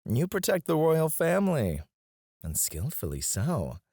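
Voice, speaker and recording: A man's voice: a little high, wildly swooping pitch, a little slow, mellow, slightly rough, no room sound, studio quality, full character voice. A man playing a cocky, disdainful royal alchemist in a scripted fantasy audio roleplay, speaking in a formal, haughty, theatrical way. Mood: cool disdain. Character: cocky, disdainful male royal alchemist, sharp-witted and proud. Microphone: close, dry studio mic.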